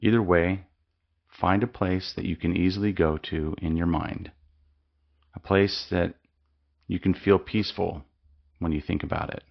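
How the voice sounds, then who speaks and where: A man leading a guided mindfulness meditation with slow, calm, soothing instructions and long pauses. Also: sits low, vocal fry, highs cut off.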